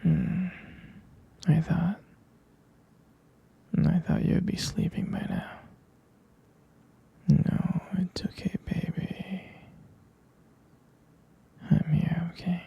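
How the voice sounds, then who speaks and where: Whispering, gravelly, soothing ASMR boyfriend. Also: male voice, a little high, little pitch movement, mellow, lo-fi sound. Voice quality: breathy, husky whisper. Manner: soothing, tender, hesitant and halting. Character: tender, protective boyfriend lulling partner to sleep. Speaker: ASMR roleplay performer. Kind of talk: boyfriend ASMR bedtime roleplay. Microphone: close microphone.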